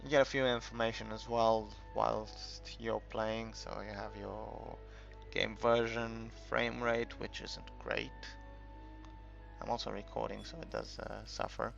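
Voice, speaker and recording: A man with a fairly low, very creaky voice talks through a game in a casual tutorial style. He rambles and pauses as he thinks aloud. The sound is a little dull and lo-fi, with music playing behind him. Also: level tone, fairly bright tone. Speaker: gaming video creator narrating a tutorial. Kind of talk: casual unscripted game commentary.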